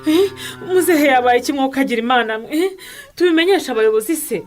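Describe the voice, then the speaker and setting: A woman's voice: high-pitched, animated, fast talker, soft background music. A woman playing a worried mother in a radio drama, full of exclamations. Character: worried mother, exclaiming over missing father-in-law. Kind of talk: Kinyarwanda radio drama acting.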